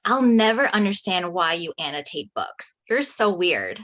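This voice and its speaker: A woman's voice: fairly low pitch, lively intonation, measured pace, fairly bright tone. A woman at a script table read playing an earnest, emotional teenage best friend.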